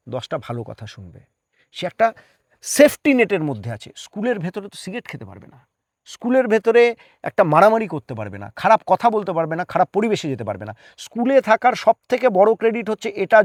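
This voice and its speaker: A man's voice: high-pitched, no room sound, clean studio sound. A man speaking earnestly as an interview guest, explaining his opinions emphatically with rhetorical questions.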